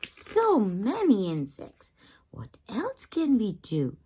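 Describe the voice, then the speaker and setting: An eager little girl from a picture book, voiced by a woman reader, speaking very slowly in simple, wondering phrases. The voice is lightly husky and swings up and down a lot. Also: medium pitch, wildly swooping pitch, mellow, exaggerated voice. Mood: delighted wonder. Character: eager little girl, storybook character collecting things.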